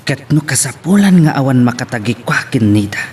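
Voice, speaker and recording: A man's voice: mid-range voice, lively intonation, a little fast, music in the background, natural voice. An actor playing a jealous, scheming rich young man, delivering brooding and menacing monologue in an audio drama. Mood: brooding menace. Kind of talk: Ilocano radio-style drama acting.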